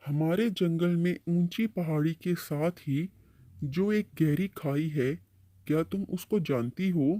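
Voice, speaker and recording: A kindly uncle tree from a children's cartoon, acted by a man. He has a soft, mellow voice, a little high for a male, and advises patiently, like an elder explaining things to a youngster. The sound is close and dry. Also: takes it slowly, no echo. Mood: inviting. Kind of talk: Hindi-Urdu cartoon storytelling dialogue.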